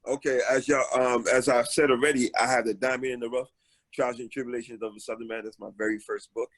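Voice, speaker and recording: A man in his forties talks easily about his life, filling pauses with ums. His voice sits at a middle pitch. The sound is dry and close, as over a video call. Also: no room sound, poor audio. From Haiti, raised in Brooklyn, New York. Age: 40s. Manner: relaxed, many filler ums, storytelling. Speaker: author guest. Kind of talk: casual interview talk.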